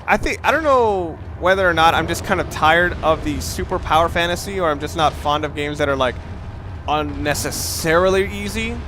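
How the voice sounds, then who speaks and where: A man's voice: on the high side, normal speed, over music. A young man giving casual, rambling, opinionated commentary while playing a video game, with the odd curse word. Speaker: let's play commentator.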